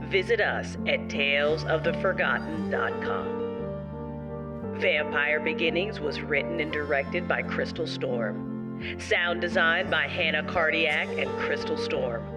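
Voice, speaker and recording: Slow-paced woman announcer over loud music. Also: deep voice. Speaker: credits announcer. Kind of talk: scripted outro narration. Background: loud guitar music.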